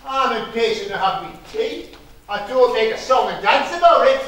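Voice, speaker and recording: Man playing a very high, cheeky sidekick, echoey. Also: moderate pace, a bit bright. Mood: flippant, grumbling. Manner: flippant, projected. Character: dim, cheeky male pantomime henchman, grumbling sidekick. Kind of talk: broad pantomime comic stage acting. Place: stage of a theatre. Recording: echoey theatre hall from far off.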